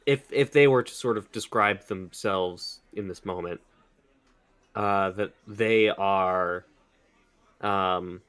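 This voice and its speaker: Slow, low-voiced man playing a tabletop game. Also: fairly bright tone, smooth. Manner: hesitant, searching for words. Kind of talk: improvised roleplay narration.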